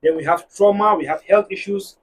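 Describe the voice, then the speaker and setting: A man with a Nigerian accent, a married guest on a discussion show, talking in an animated, preachy way and telling stories to make his points. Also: sits high, a little fast, distant mic, slight background noise. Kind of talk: casual panel discussion.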